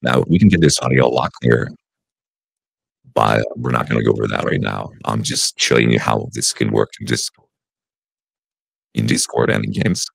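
Easygoing male online personality, done by a man through a voice changer: rock-bottom pitch, crackling gravel, unclear processed audio, casual. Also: expressive, moderate pace, pauses often, no room sound, exaggerated voice. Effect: real-time AI voice changer. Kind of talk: casual tutorial demonstration.

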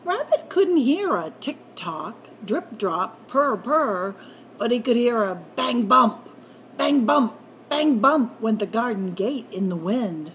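Lady reading a kids picture book, slow n very singsongy up and down, playful, bit noisy room. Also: a little high. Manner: animated, playful, drawn-out sound words. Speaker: library story time reader. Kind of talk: expressive picture-book read-aloud for children.

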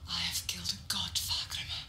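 A woman's voice: moderate pace, pushed and bright, whispered, faint music behind. A woman playing a defiant, haunted young warrior in a video game, speaking with intense resolve. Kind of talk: dramatic video game acting. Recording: echoing space, faint noise.

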